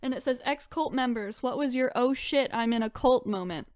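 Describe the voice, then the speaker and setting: Animated higher-pitched woman, casual podcast chat. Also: normal speed, no echo, clean studio sound. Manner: animated, exclaiming reactions. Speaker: podcast co-host. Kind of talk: casual chat, reacting to stories.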